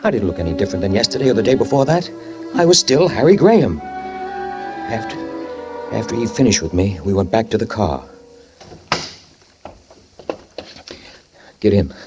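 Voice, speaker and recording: An actor playing a guilt-ridden man who fled a fatal crash, narrating in the first person in a 1940s radio drama with tense, halting speech that stammers and repeats. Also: fairly low pitch, wildly swooping pitch. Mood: evasive. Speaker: narrator and lead character. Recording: old radio recording with music behind.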